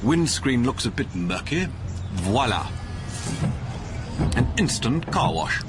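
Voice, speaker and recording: A man's voice: medium pitch, very animated, slow pace, loud music behind the voice. An actor playing a witty, debonair English showman with a British accent and an arch, theatrical manner in a radio drama. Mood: playful showing off. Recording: loud car and outdoor street noise.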